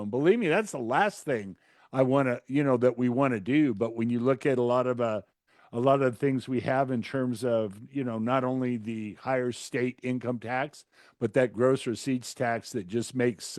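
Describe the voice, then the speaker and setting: Male co-host, medium register, conversational commentary with halting phrasing, recorded very dry with no room sound. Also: medium pitch, darkish tone, no echo. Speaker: podcast co-host, businessman and consultant.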